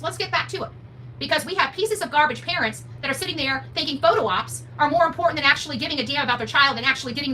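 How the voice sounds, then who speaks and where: A woman's voice: sits high, bright, forceful tone. A woman hosting a livestream commentary, speaking bluntly and combatively to her viewers with emphatic rhetorical questions. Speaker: livestream host, advocate commentator. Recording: distant mic, faint hum noise.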